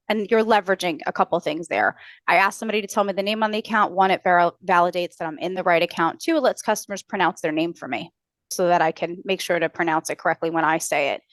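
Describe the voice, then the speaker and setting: A woman's voice: fairly low pitch, no room sound. Woman explaining customer service training, dry sound. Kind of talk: conversational training advice.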